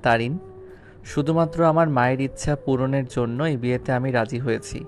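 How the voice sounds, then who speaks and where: A man's voice: mid-range voice, a little fast, a little hiss or hum, close to an everyday voice. A man playing a blunt, reluctant schoolteacher groom in an audio drama, speaking in a firm, lecturing way. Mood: resigned, explaining. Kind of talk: Bengali audio story acting.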